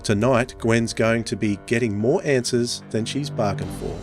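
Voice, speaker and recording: A man's voice: medium pitch, moderate pace, music in the background. A man hosting a casual podcast chat, speaking close to the microphone. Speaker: podcast host.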